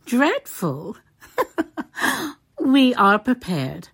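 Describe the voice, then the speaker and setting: A woman playing a gentle, grave, loving middle-aged mother with a husky voice in an audiobook drama. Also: on the low side, very animated, heavily acted. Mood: tender, anxious. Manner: serious yet cheery, measured. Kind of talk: dramatized audiobook character acting.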